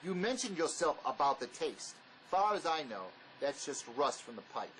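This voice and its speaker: A man's voice: fairly high pitch, very animated, moderate pace, a bit bright, poor audio. A man acts a pragmatic fugitive ex-convict in an audio drama, with widely swinging intonation. He is terse, bossy and dismissive. Faint noise sits behind the voice. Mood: matter-of-fact.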